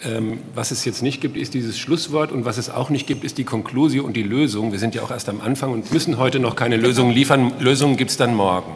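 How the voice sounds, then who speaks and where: A man's voice: medium pitch, a little fast, few pauses. A man moderating a panel, speaking informally and without a script. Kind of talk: casual unscripted moderation. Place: large conference hall. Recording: reverberant noisy hall.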